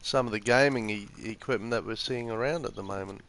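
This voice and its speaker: A man's voice: lowish voice, brisk, noisy background. A congested man with the flu giving casual, hesitant live game commentary on a headset microphone, explaining things as he goes. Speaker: gaming live streamer. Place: room next to an office, windows open. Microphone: PS4 gaming headset microphone.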